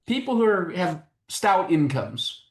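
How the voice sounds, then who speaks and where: A man's voice: high voice, very animated, unhurried. A man hosting a conversational interview, putting questions to a guest. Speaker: interview host. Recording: echoey, roomy sound.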